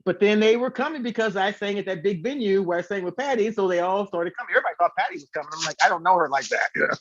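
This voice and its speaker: A man's voice: high voice, very fast, fairly bright tone, dry recording, highs cut off. A man telling stories casually as an interview guest, animatedly acting out the dialogue he quotes. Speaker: singer and former radio host. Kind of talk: casual storytelling.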